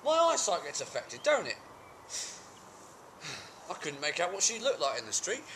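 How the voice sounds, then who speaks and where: Man playing indignant husband, lively high pitch. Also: moderate pace. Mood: defensive, wheedling. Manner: wheedling, indignant, self-justifying. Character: cheeky, aggrieved working-class husband making flimsy excuses. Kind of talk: colloquial comic stage monologue. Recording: amateur home video with background noise.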